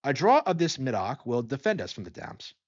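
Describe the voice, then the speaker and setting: A man voicing a courteous, scheming nobleman in a storybook tale, coaxing with polite, deliberate concern. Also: mid-range voice, big pitch swings, dull audio. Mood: solicitous, coaxing.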